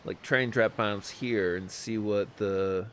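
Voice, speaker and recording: A man's voice: on the low side, fairly even intonation, measured pace, a bit bright, slight background noise. A man streaming a strategy game, chatting casually with viewers, hesitant and self-deprecating, with many fillers. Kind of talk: casual live-stream commentary. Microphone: desk microphone, no camera.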